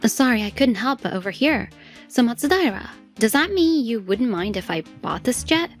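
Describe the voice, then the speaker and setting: A voice actress as a self-assured woman executive in her thirties. Her fairly high voice moves with lively, expressive swings, gracious and unruffled in manga dub style. Music sits behind her. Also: moderate pace, close to an everyday voice. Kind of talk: dramatic manga dub voice acting. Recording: music bed under the voice.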